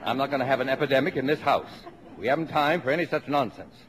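A noisy vintage radio broadcast carries a man playing a middle-aged American family man. He is brash and stubborn, full of jovial bluster, and his pitch jumps around with every emphatic phrase. The delivery is classic 1950s radio acting. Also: expressive, fast, lightly acted. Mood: stern.

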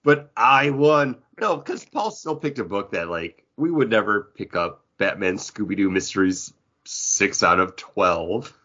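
A man chatting casually about comic books as a podcast host, with an animated, bantering way of talking. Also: on the low side, a little slow, highs cut off. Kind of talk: casual comic book chat.

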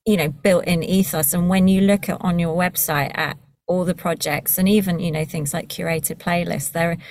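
A woman interviews a guest in a relaxed, conversational style. Her voice sits a little low and the recording is dry. She sounds encouraging, feeling her way through the wording of questions. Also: normal speed, no echo. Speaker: interviewer, podcast host.

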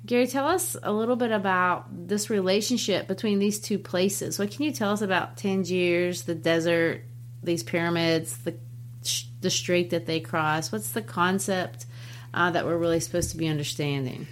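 A woman's voice: on the low side, steady tone, normal speed, slight background noise. A woman who teaches and co-hosts a book podcast, talking in a chatty way and laughing easily. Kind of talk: casual book discussion.

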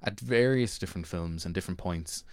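Low, smooth-voiced Irish man. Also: fairly quick, a little mellow, dry recording. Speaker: podcast host. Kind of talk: casual film chat.

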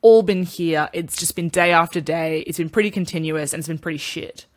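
A woman with an Australian accent co-hosting a podcast, chatting casually, frank and quick to swear. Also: on the low side. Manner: frank, swears freely, rambling. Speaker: podcast co-host. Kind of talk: casual chat.

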